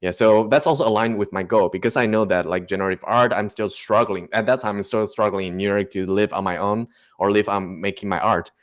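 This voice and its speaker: A man from Taiwan with a Taiwanese accent, speaking haltingly and searching for words as a guest artist in a casual interview. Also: on the low side, fairly even intonation.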